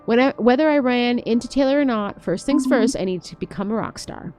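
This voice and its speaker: Female game streamer, expressive and playful read-aloud, fairly high voice over clear background music. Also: a little fast. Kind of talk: reading visual novel aloud with live reactions.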